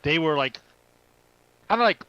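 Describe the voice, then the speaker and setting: A man's voice: on the high side, expressive, a little slow, pushed and bright, clear, resonant tone, no echo, lo-fi sound. A man co-hosting a podcast, giving casual, conversational explanations and commentary. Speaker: podcast co-host. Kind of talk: casual chat, movie commentary.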